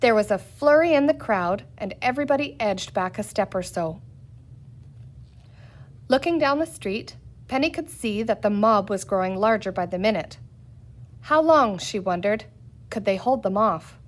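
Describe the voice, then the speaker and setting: A woman reading a children's book aloud as a storyteller, in an expressive style. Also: mid-range voice, normal speed. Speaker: story reader.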